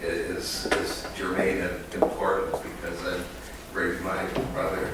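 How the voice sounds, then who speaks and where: A man's voice: low voice, completely flat delivery, measured pace, heavy background noise. A man giving an academic conference talk as an economist, hesitant, with many fillers and restarts, recorded in an echoey hall from a distant mic.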